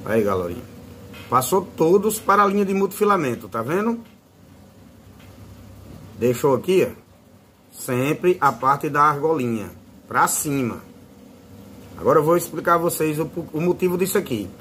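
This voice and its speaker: A man's voice: fairly high pitch, lively intonation, moderate pace, pauses often, a bit bright, a little hiss or hum. A man with a Northeastern Brazilian accent giving a casual, informal hands-on tutorial as a fishing channel host. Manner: informal, fond of diminutives.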